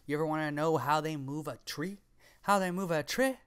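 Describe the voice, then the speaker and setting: A man's voice: a little high, a little fast, fairly bright tone, clean tone. A man in his twenties giving casual, off-the-cuff reaction commentary into a close microphone, slangy and sweary with playful sarcasm. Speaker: reaction video creator. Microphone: close studio microphone on arm, with headset.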